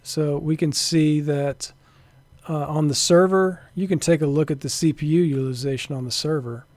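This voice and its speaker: A man narrating an informal software demo as a developer, thinking aloud hesitantly and sometimes trailing off into a mutter. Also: a little high, fairly even intonation, moderate pace, dry recording. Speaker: software developer demonstrating a networking tool.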